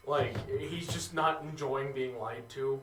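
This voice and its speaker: A man runs a tabletop game in a loose, halting way, filling gaps with "uh" and "like". His voice sits at a middle pitch. He is picked up from a distance in an echoey room, with faint background noise. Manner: casual, hesitant with many fillers. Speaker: game master.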